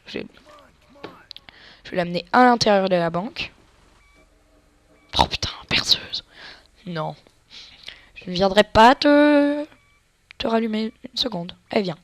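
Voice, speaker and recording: A woman's voice: mid-range voice, measured pace, long pauses, no room sound. A woman with a cold giving casual, crude, joking gameplay commentary and explaining tactics to viewers as a let's play gamer. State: has a cold.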